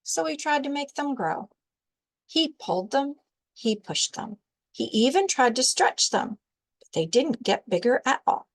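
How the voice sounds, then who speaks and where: A woman reads a picture book aloud for children in the manner of a library storyteller. Her voice is on the high side, and she takes it slowly, shaping the narration with deliberate expression. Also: sing-song.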